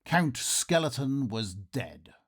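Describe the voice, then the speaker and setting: A man playing a melodramatic Victorian nobleman, narrating in radio theatre style with formal diction and suspenseful dramatic pauses. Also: medium pitch, sing-song, heavy background noise. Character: melodramatic Victorian nobleman recounting his terror. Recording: music playing under the voice.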